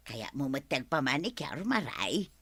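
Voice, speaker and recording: A woman's voice: sits low, bright, forceful tone, husky, dry recording, full character voice. A woman playing a chatty, teasing village manicurist who laughs often, with an Ilocano accent, in audio drama style. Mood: chatty, boastful.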